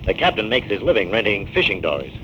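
An actor playing a brisk, confident detective in a 1940s radio crime drama, questioning with purpose. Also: male voice, fairly low pitch, very animated, sharp tone. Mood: purposeful. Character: brisk, confident male detective investigating murders. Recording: dull, hissy old radio recording.

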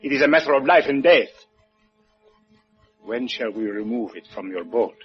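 A man's voice: mid-range voice, lively intonation, normal speed, soft background music, lightly acted. A man acting an anxious, insistent Cuban schemer in a 1950s radio play. He speaks formally with a Spanish accent and keeps pleading, repeating himself. The recording is dull and lo-fi. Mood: polite, insistent.

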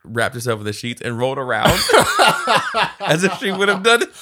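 A man's voice: mid-range voice, sing-song, a little fast, very noisy. A man co-hosting a podcast, recapping a movie scene by scene in loose, profane, laughing chat. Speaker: podcast co-host. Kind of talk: casual movie review chat.

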